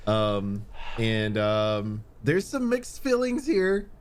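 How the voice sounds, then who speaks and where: A man hosting a podcast in casual chat, with an easygoing, halting way of talking. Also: lowish voice, huge pitch swings. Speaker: podcast host. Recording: faint background noise.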